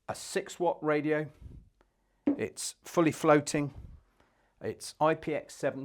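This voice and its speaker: Matter-of-fact man presenting products. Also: medium pitch. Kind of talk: unscripted product review presentation.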